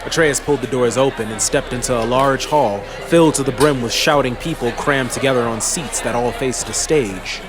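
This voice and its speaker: A man's voice: mid-range voice, moderate pace, hardly any pauses, a crowd in the background. Male storyteller, middle register, scripted fantasy audiobook narration, light music bed.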